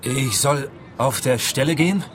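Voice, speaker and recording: An actor playing a clueless young private detective in a radio comedy, naive and literal-minded. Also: male voice, mid-range voice, a little slow, slight background noise, close to an everyday voice. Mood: puzzled. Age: young adult.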